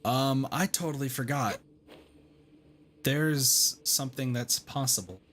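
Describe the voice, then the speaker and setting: A man commenting casually as he streams a game. His voice sits at an average pitch, and he talks informally and reflectively, often repeating phrases. Faint game music runs underneath. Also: takes it slowly, fairly bright tone.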